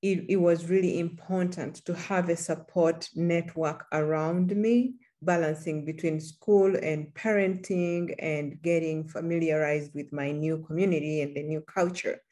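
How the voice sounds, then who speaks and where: A middle-aged woman from Uganda speaking on a panel over a video call, earnest and measured with small restarts. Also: low-pitched, steady tone, dark tone. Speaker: panelist, support group leader. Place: room at home. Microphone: laptop camera on a video call.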